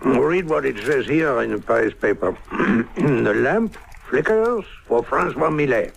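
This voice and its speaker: A man's voice: mid-range voice, lively intonation, measured pace, gravelly, breathy edge, heavily acted. An actor playing a droll, sardonic and evasive co-conspirator in old-time radio comedy, hemming as he talks. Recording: noisy old radio drama recording.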